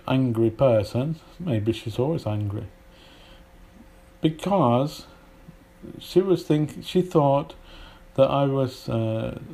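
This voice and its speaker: A man's voice: mid-range voice, dark tone. British man, accent tinged with Polish. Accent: British English with Polish influence. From Britain. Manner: rambling, hesitant, many fillers and restarts. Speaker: English teacher. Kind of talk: informal video lesson.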